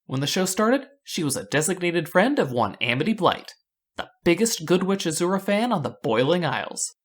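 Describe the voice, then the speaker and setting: A woman's voice: on the low side, sing-song, moderate pace, breathy edge. A woman narrating scripted fan analysis as a video host, with a wry, rhetorical delivery.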